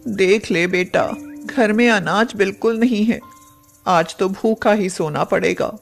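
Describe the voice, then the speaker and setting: A woman voicing a poor, caring village mother who speaks earnestly and expressively in an animated moral story. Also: mid-range voice, a little slow, vocal fry, faint music behind. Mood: sad.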